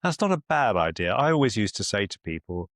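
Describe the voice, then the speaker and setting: A man's voice: fairly low pitch, big pitch swings, brisk, a bit bright, dry recording, clean studio sound. A middle-aged man mentoring in a conversational, reassuring, explanatory way. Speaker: medical mentor.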